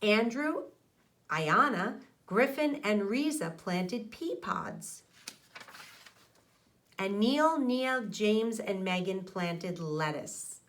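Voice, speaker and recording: A middle-aged woman teaches young children through a read-aloud. Her delivery is unhurried and expressive, with lively rises and falls in an average-pitched voice. She speaks straight to her listeners, close to the mic. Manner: expressive, addressing children directly. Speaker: elementary school teacher. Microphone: microphone on a boom arm close to mouth.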